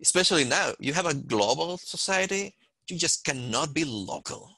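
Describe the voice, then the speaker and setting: Chilean guy on a panel, spanish accent, bright and kinda dry sounding, slow careful thinking out loud. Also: fairly high pitch, animated, no room sound, poor audio. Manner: thoughtful, searching for words. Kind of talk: panel discussion.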